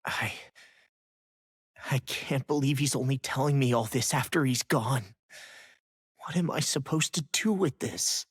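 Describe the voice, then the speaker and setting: A man voicing a brash, warm-hearted teenage boy fighter in a video game cutscene, with a casual, blurting delivery. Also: mid-range voice, a little slow, pauses often, slightly rough, dry recording, clean studio sound. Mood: hurt, bewildered. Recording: music behind the voice.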